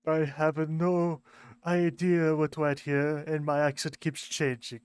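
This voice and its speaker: A man walks through fan lore in an unhurried, rambling explainer. His voice is a little high and clear. Close, dry sound with no room. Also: flat delivery, darkish tone, no echo. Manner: rambling, offhand asides. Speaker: video creator narrating lore.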